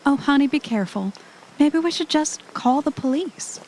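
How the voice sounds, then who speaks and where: A woman playing a fond, careful wife on vacation in an audio drama, chatty and gently chiding. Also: high-pitched, expressive, moderate pace, poor audio. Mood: worried. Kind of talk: audio drama acting, conversational. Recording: faint background noise.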